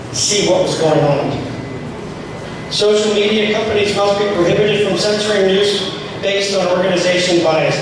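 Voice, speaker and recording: Hoarse, fervent man, fairly high voice, heard from afar in a booming, noisy hall. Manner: fervent, rallying, asks the room questions. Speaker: speaker at a political group meeting. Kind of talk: impassioned meeting speech. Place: meeting hall. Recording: echoey hall from far off, noisy.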